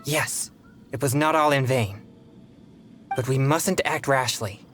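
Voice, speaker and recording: A man voicing an earnest, polite teenage boy exorcist in a video game, with formal, measured phrasing. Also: medium pitch, a bit bright, slight background noise. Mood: alert, cautious.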